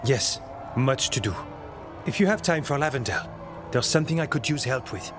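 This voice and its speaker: An actor voices a dour, dutiful warden in a fantasy game. The man has a medium-pitched voice with clear music behind it. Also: lively intonation, normal speed, close to an everyday voice. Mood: dry, dutiful. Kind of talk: video game dialogue.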